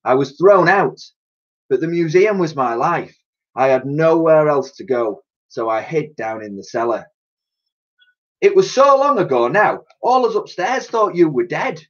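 A vain, self-pitying old scientist from a children's storybook, put on by a man reading aloud. His husky voice swings up and down as he boasts and mourns. The sound is dull and lo-fi. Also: on the high side, expressive, measured pace, voice pushed up. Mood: mournful. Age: older. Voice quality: pompous.

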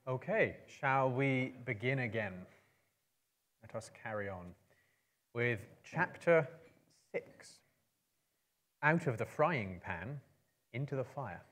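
A man in his thirties giving a measured, expressive live dramatic reading as a storyteller. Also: medium pitch. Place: indoor book-lined venue before a small live audience.

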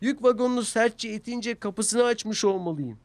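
A man voicing a cheeky, playful young steam engine in an excitable way for a children's cartoon. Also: high-pitched, normal speed, no room sound, voice pushed up. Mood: dismayed, sorry.

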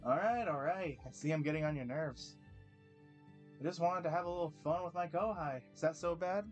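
A man's voice: fairly high pitch, a little fast, dull audio, faint music behind, voice pushed up, natural voice. A man putting on a brash, teasing college buddy. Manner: playful, ribbing, brash.

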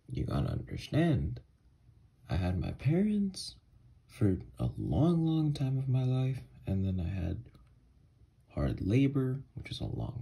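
A man playing an obsessed, remorseful escaped mental patient and scientist in a halting, husky ASMR horror roleplay. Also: lowish voice, dark tone, full character voice. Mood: hesitant, uneasy. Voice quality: husky, breathy. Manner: halting, hesitant, confessional.

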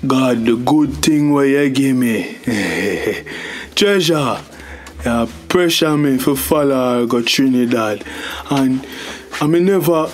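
A man's voice: mid-range voice, slight background noise, close to an everyday voice. An actor playing a flustered, grumbling Jamaican family father in a radio comedy drama, stammering as he complains. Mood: reluctant.

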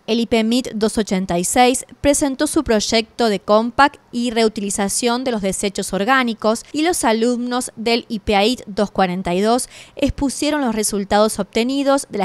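An Argentine woman reading a scripted local news voice-over in an even, read-aloud delivery. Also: fairly high pitch, clear, resonant tone, no room sound. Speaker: news reporter.

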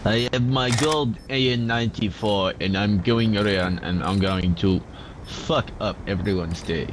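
A man's voice: lowish voice, a little slow, background noise. A young man gaming with friends, bantering in a cocky, swearing way over voice chat. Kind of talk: casual gameplay banter. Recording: loud game gunfire behind voice.

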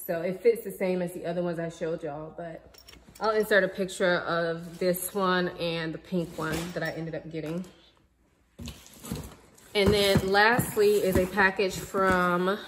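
A woman's voice: on the low side, in a large hall, a little hiss or hum. A woman in her thirties chatting casually to viewers about things she bought, seated at home in front of the camera. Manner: chatty, relaxed, addresses viewers as y'all. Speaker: vlogger. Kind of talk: casual haul vlog chat. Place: living room at home, indoors.

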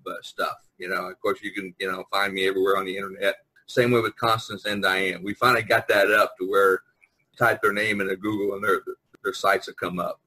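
Bright, slightly husky American man hosting. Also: lowish voice, low-quality recording. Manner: talkative, jokey, prodding the others to talk. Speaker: podcast host, visual artist. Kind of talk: casual video-call podcast chat. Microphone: online video conference call.

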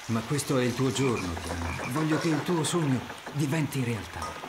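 A charming, upbeat young husband voiced by a man with a very lively pitch, devoted in manner. It is animated game dialogue over clear background noise. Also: moderate pace, close to an everyday voice.

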